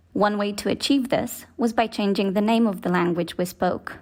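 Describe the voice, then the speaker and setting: Young woman from Moldova, native Romanian speaker, mid-pitched, delivering scripted first-person essay narration. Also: darkish tone. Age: young adult. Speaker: journalist and author, essayist.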